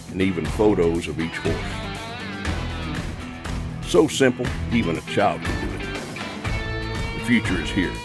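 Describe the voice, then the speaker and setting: A man with a very deep voice reads a polished commercial voice-over. Clear guitar music plays behind him. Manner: polished promotional delivery. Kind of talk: scripted commercial narration.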